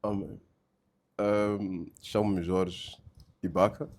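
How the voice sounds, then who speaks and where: Male pastor from Congo and Angola as interview guest, fairly low voice, telling his own story haltingly. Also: little pitch movement, a little mellow. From born in Congo, grew up in Angola. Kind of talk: personal testimony in an interview.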